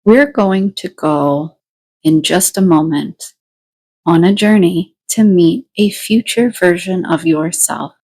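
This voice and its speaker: A woman leading a guided meditation, giving deliberate instructions in short phrases with pauses between them. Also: fairly low pitch, darkish tone, husky, rough recording.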